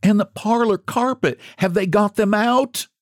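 A man's voice: high voice, unhurried, no room sound, clean studio sound, voice pushed up. A man voicing a frantic, blunt rural woman who speaks in breathless exclamations and rustic old-fashioned dialect. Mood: gasping, anxious. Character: frantic rural woman crying fire, guilt-stricken, blunt.

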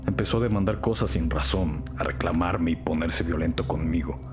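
A very deep-voiced man who is cornered and defensive , played by an actor. He speaks as an aggrieved suspect confessing in a crime audio drama, with clear music underneath. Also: moderate pace, lightly acted. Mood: somber confession. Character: troubled male murder suspect, defensive and aggrieved. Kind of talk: dramatic audio fiction dialogue.

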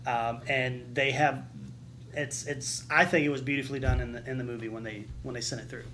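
Bright-voiced man in casual podcast chat. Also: mid-range voice, steady tone, a little fast, slight background noise. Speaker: podcast co-host. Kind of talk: casual group discussion.

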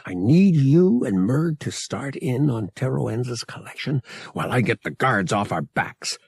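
A man's voice: mid-range voice, expressive, a little slow, creaky, breathy edge, no room sound, exaggerated voice. A man voicing a brash, impatient rogue adventurer in an audiobook, curt and snarling. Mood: curt, pressing. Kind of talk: audiobook character acting.

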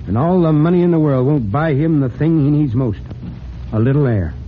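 An American man playing a steady, fatherly fire chief, deliberate and commanding, in old-time radio drama style. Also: a little high, lightly acted. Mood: grim. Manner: deliberate, authoritative. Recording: muffled, noisy old radio recording.

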